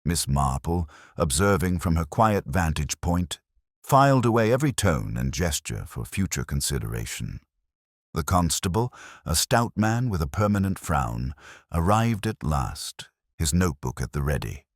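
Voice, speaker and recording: Very deep male mystery narrator. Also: sing-song, no echo, clean studio sound. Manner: measured, literary storytelling delivery. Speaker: audiobook narrator. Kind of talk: scripted mystery story narration.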